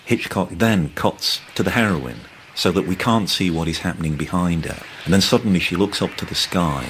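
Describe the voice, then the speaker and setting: A man's voice: low-pitched, normal speed, fairly bright tone, slight background noise, poor audio. A man speaking as a film commentator, recalling and describing in a documentary interview.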